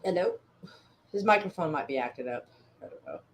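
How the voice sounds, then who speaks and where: A woman's voice: deep voice, animated, moderate pace, breathy edge. Scattered woman hosting a chat. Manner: scattered, thinking aloud. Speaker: host. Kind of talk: casual voice chat.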